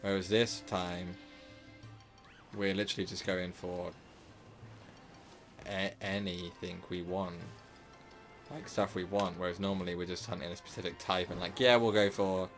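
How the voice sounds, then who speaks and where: A man with a slightly sore, hoarse throat, casually commenting on his live gameplay stream and rambling with lots of filler words and self-corrections. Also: deep voice, little pitch movement, unhurried, long pauses, faint music behind.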